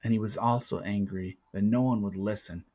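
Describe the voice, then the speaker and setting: A man reading a storybook aloud as a dramatic narrator, with deliberate, theatrical phrasing and a casual touch. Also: on the low side, steady tone, mellow, highs cut off. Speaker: storybook reader.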